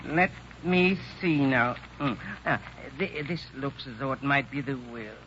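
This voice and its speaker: An actor playing a fussy, kindly older small-town lawyer in a radio drama, muttering and hesitating in a folksy way. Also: male voice, medium pitch, measured pace, a bit bright, lightly acted. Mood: fussy, absorbed. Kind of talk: 1940s radio drama acting. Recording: scratchy, muffled old radio broadcast.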